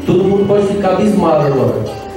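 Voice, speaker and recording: High-voiced Brazilian preacher in echoey hall. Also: male voice, fast. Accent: Brazilian Portuguese. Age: 30s. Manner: lively, playful, calls out to the crowd. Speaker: lay preacher. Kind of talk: live charismatic sermon. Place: large echoey prayer-group hall, live event. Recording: very echoey hall, distant mic, music behind.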